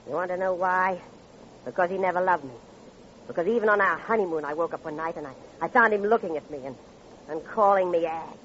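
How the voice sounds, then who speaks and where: A woman's voice: on the low side, a little fast, fairly bright tone, natural voice. Woman playing a bitter, flirtatious American divorcee, muffled. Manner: theatrical, taunting, abrupt swings in delivery. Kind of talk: old-time radio crime drama acting. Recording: muffled, noisy vintage radio recording.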